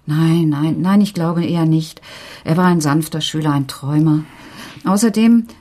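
An actress in her forties playing an anxious teacher and mother in a naturalistic radio crime drama, with a hesitant, emotional delivery and a slightly husky voice. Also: deep voice, normal speed, warm tone. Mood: uneasy, hesitant. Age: 40s. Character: anxious forty-year-old female teacher and single mother.

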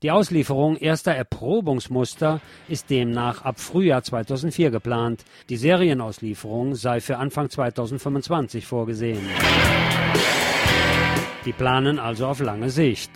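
A man's voice: medium pitch, moderate pace. Man reading news over music. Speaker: news presenter and commentator. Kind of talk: scripted news reading with personal asides.

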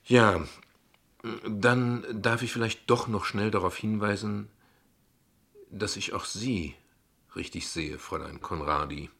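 A shrewd ironic dad in a radio play, husky and sharp, talks very pointed and polite. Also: male voice, lowish voice, slow pace, long pauses, heavily acted. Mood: ironic, measured. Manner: eloquent, pointed, condescendingly polite. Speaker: actor. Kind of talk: dialogue acting in a German radio drama.

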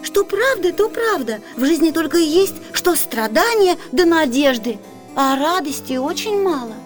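An actress playing a naive, anxious young bride-to-be in a radio theatre comedy, with sighing, exclamatory theatrical delivery. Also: female voice, very high-pitched, big pitch swings, moderate pace, faint music behind. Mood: plaintive.

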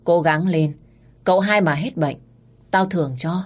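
A woman's voice: fairly low pitch, normal speed, lightly acted. Woman doing a stern old landowner father.

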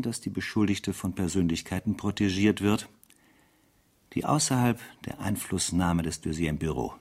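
An actor in a German radio play, playing a stern, relentless army intelligence captain who speaks formally. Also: male voice, low voice, a little mellow, husky. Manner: formal, accusatory.

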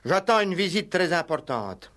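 An actor playing an eager, self-important village police sergeant in a French radio play, pompous, stammering and obsequious. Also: male voice, high-pitched, sing-song, moderate pace, fairly bright tone. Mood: self-important, flustered. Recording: vintage 1960s radio recording.

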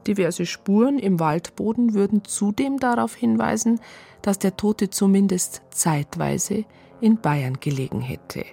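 A woman with a husky voice reading scripted narration for a radio drama. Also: medium pitch, expressive, unhurried, mellow, faint music behind.

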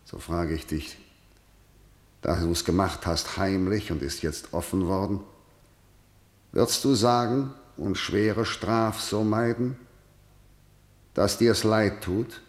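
A male actor playing a stern, imperious king, declaiming deliberately in a verse radio drama. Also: low-pitched, steady tone, roomy sound. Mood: indignant. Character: stern, imperious king interrogating a defiant prisoner.

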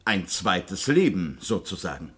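Husky, callous male doctor. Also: low voice, big pitch swings, a little slow, heavily acted. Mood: matter-of-fact, defensive. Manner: clinical, brusque, dismissive. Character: callous, impatient male scientist doctor, coolly self-justifying. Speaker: doctor in a radio play. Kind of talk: German science-fiction radio drama acting.

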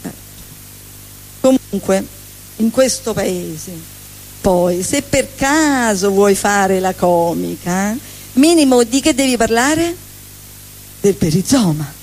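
A woman's voice: mid-range voice, animated, takes it slowly, pauses often, a little mellow, faint music behind. Woman comic, sarcastic stand-up, rattles off lists, live on stage. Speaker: comedian. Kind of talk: stand-up monologue. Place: stage at a live benefit evening.

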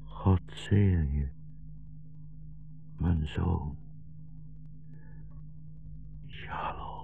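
An actor playing a gentle old blind rabbi, wounded and dying, slow and solemn with a gravelly voice, in a 1960s radio drama. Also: male voice, rumbling low, soft background music, heavily acted. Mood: tender, fading. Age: older. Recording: muffled 1960s radio broadcast recording.

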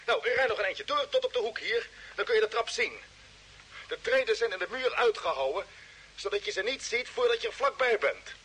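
A man acting a brisk, decisive commander of a Mars expedition in an old Dutch radio drama, giving clear orders. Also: extremely high pitch, expressive, bright, forceful tone, full character voice. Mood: commanding. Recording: noisy vintage radio play recording.

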